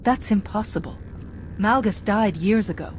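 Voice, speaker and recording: A woman's voice: mid-range voice, moderate pace, a little hiss or hum, lightly acted. A woman playing a resolute, stern military commander in a video game, speaking in a firm, direct way. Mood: determined. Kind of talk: video game cutscene acting.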